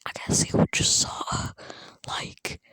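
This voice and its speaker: A person speaking in a breathy, unvoiced whisper, telling an improvised fan story in a rambling, halting way, close to a phone. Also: fairly bright tone, no room sound. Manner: rambling, halting, acting out characters' dialogue in passing.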